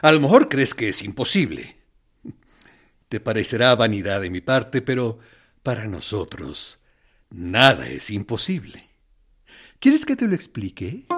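A man acting a radio drama monologue as a menacing interrogator who feigns reason and kindness, insinuating and mock-courteous. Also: medium pitch. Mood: mock-kind, coaxing.

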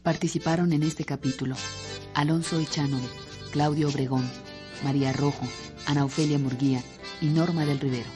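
Male credits announcer over loud guitar. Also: on the high side, fairly even intonation, normal speed. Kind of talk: scripted credits reading. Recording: loud guitar music under voice. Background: guitar music.

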